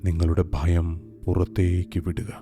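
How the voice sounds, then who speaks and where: A man narrating a scripted guided meditation in a calm, soothing, unhurried way with long pauses. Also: deep voice. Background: soft meditation music with singing bowls.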